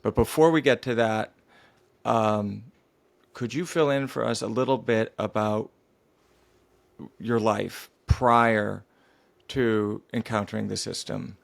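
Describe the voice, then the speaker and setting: A man's voice: fairly low pitch, steady tone, slow pace, vocal fry, pauses often, no echo. A man interviewing a guest, asking questions hesitantly and rephrasing them with fillers.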